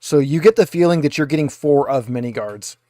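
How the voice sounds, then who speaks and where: A man's voice: a little high, lively intonation, brisk, dark tone, no echo, studio quality. A man acting as game master, narrating a tabletop roleplaying game in a hesitant, conversational way.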